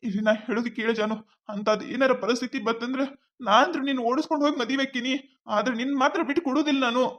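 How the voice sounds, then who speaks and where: A woman's voice: high-pitched, steady tone, fast, fairly bright tone. A woman playing a devoted young boyfriend. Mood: insistent. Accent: North Karnataka Kannada. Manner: coaxing, reassuring.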